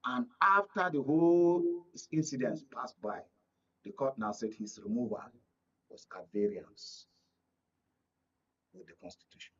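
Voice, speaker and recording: Husky Nigerian man, emphatic political analyst. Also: medium pitch, a little slow, long pauses, fairly bright tone, highs cut off. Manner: emphatic, rhetorical questions with repeated check-ins. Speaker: studio guest, political analyst. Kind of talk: political panel discussion.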